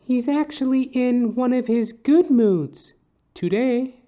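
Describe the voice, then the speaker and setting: A woman's voice: on the high side, slow, warm tone. A woman playing a meek, flustered secretary with a hesitant, polite manner in a detective dramedy audio play. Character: meek, flustered female secretary, apologetic and dutiful.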